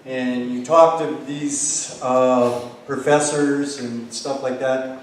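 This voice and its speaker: A man's voice: mid-range voice, steady tone, slow. A plainspoken man from Hawaii gives an informal, loosely rambling campaign speech as a council candidate. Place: high school hall public forum. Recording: echoey hall, distant mic, faint noise.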